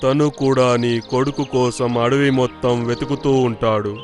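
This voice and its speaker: A man voicing a stern, resolute lion king of the forest in a children's cartoon, with a measured, authoritative manner. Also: medium pitch, fairly even intonation, darkish tone, faint music behind, close to an everyday voice. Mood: grave, concerned. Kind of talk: Telugu children's cartoon voice acting.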